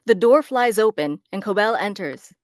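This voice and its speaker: A woman's voice: medium pitch, lively intonation, normal speed, dry recording, studio quality. A woman narrator reading screenplay stage directions as dramatic scripted narration. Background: faint background music.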